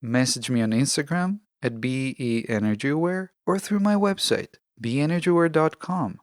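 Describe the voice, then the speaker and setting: A man hosting a podcast, delivering a reflective scripted monologue in measured, earnest, deliberate short statements. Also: mid-range voice, animated, no echo, clean studio sound. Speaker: podcast host.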